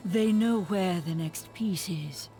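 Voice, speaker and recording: An actress playing a cold, resolute necromancer hero in a video game, terse and formal. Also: female voice, lowish voice, slow pace, mellow, faint music behind.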